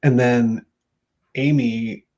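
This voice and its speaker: Male musician guest, mid pitch, wry and plain-spoken. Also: steady tone, extremely slow, warm tone. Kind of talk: casual interview chat.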